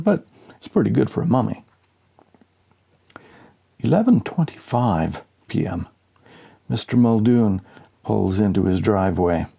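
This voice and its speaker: A man reading a humorous story aloud as scripted narration, deadpan and suspenseful, with dramatic pauses. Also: on the low side, vocal fry, slightly rough, highs cut off. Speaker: audiobook reader.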